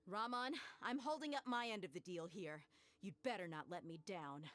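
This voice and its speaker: An actress voicing a bold young female adventurer in a video game, steady and wry. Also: lowish voice, normal speed, natural voice. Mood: resolute.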